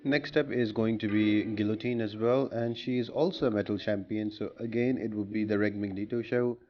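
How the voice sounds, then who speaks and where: A man's voice: fairly low pitch, fairly even intonation, a little fast, lo-fi sound. A man giving a casual, step-by-step walkthrough commentary as the host of a gaming guide. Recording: clearly noisy voice-over with background ambience.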